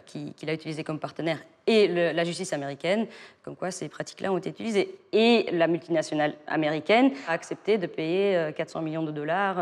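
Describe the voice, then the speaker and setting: A woman's voice: fairly low pitch, big-room sound. A woman expert answering interview questions, explaining at length with frequent hesitations and restarts. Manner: hesitant, many fillers and restarts.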